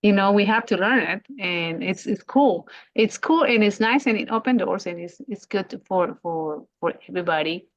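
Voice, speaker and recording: A woman's voice: medium pitch, normal speed, few pauses. A woman hosting an interview in English, not her first language, enthusiastic and often repeating words as she thinks. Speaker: host. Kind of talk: casual interview chat. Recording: dull muffled audio.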